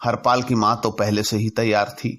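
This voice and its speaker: A man narrating a personal story in a deliberate way, in short halting phrases. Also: lowish voice, flat delivery, a bit bright. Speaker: narrator telling his own anecdotes.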